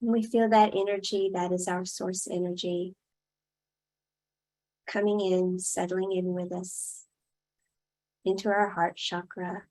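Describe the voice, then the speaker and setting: A woman leading a guided meditation in a soothing style, speaking in short, unhurried phrases with long pauses. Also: fairly low pitch, little pitch movement, darkish tone, low-quality recording.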